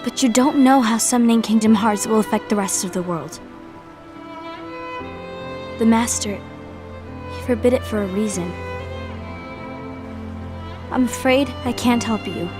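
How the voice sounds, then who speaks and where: Woman doing a high earnest young game heroine, dutiful and protective, music playing behind her. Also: measured pace, pauses often, lightly acted. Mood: regretful, firm. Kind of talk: dramatic video game cutscene acting.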